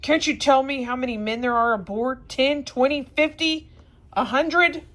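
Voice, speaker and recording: An impatient, plain-spoken harpooner from a classic sea story, voiced by a woman reading aloud. He exclaims and presses his questions hard. The delivery is loud and blunt. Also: high-pitched, moderate pace, voice pushed up. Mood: amazed, insistent. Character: hot-tempered, blunt Canadian harpooner man, impatient and loud.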